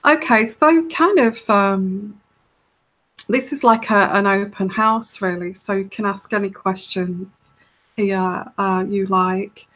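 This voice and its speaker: A woman with a soft, soothing voice hosting a live tarot Q&A, chatting casually and rambling with many fillers and restarts. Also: mid-range voice, takes it slowly. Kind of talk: casual live-stream chat.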